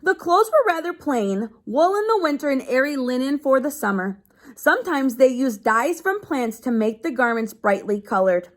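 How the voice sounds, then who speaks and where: High, lively-pitched woman reading aloud. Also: normal speed. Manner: explains simply, as if to children. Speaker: teacher reading a children's book aloud. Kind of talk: read-aloud lesson with simple explanatory asides.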